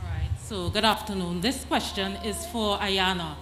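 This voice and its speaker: A woman in the audience asking a question from the floor, hesitant and halting, correcting herself as she goes. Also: mid-range voice, background noise. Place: festival venue. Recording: echoey hall.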